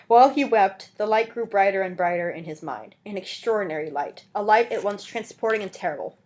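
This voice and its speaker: A woman's voice: mid-range voice, animated, fairly quick, darkish tone, low-quality recording. A woman reading a classic novel aloud as narrator, in an earnest, theatrical and emphatic manner. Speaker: amateur audiobook reader. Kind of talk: dramatic literary reading aloud.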